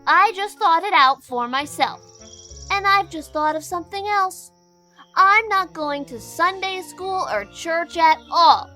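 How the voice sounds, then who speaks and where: Mischievous scrappy boy, very high sharp voice, blustering and defiant, storybook drama. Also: exaggerated voice. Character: defiant, mischievous young boy, hot-tempered and bragging. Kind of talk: audio storybook character acting.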